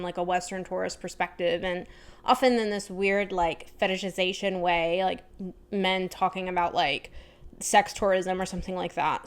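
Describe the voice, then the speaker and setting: A woman with a smooth, crisp voice at an average pitch presents book recommendations. She speaks in an informal, chatty vlogger style, thinking aloud in a slightly tentative way as she goes. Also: a bit bright.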